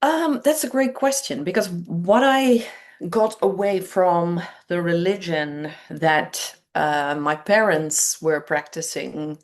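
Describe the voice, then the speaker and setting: A woman in her fifties with a Dutch accent answers interview questions in a reflective, conversational way. Her voice sits a little low and her pace is very slow, with hesitant pauses between phrases. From Netherlands. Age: 50s. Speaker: interview guest, training coach.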